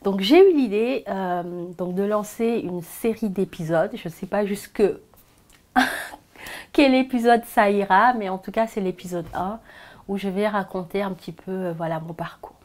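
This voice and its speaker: A woman, sharing her personal story in a soft, hesitant way with frequent pauses. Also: medium pitch. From Senegal. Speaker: vlogger. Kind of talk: personal confessional vlog.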